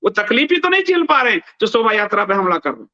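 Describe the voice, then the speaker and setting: A man's voice: high-pitched, very animated, fast talker, bright, forceful tone. A man hosting news commentary, reading aloud and adding emphatic remarks, repeating phrases for stress, in a dull lo-fi recording. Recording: dull, lo-fi band-limited sound.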